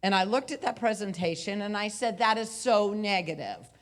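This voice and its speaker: Loud woman coach speaking on stage. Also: mid-range voice, sing-song, normal speed. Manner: loud, conversational, with repeated phrases. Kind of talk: live motivational talk. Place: hotel conference room stage. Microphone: handheld microphone.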